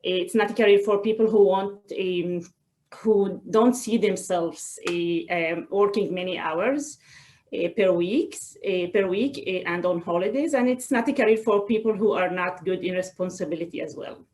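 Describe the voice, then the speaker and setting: A woman, giving a personal talk in a webinar in a halting, hesitant way with frequent pauses. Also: mid-range voice, a little mellow. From Israel. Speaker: guest speaker, doctor. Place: online video call.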